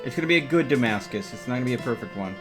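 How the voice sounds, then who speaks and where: A man's voice: medium pitch, lively intonation, rapid-fire, a bit bright. A man doing casual live gaming commentary as a streamer, talking himself through each step with exclamations. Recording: music behind the voice. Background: music.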